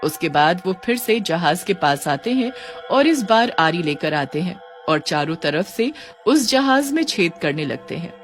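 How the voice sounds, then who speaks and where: A woman's voice: fairly low pitch, sing-song, a little slow, soft background music. A woman reading scripted narration for a cartoon story.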